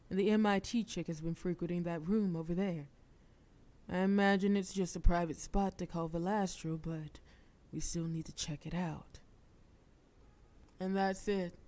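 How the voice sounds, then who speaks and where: Brief: a woman doing a ruthless lady mob boss, mellow voice, teasing and commanding. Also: fairly low pitch, lightly acted. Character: cocky, flirtatious female mafia boss, ruthless planner. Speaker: audio roleplay performer. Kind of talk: scripted audio roleplay.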